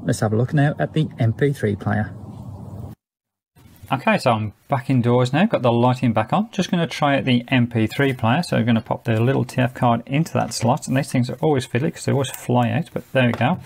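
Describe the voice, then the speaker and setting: Man, mid-pitched voice, fry-heavy, candid product reviewer, casual running commentary, understated delivery. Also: a little mellow, a little hiss or hum.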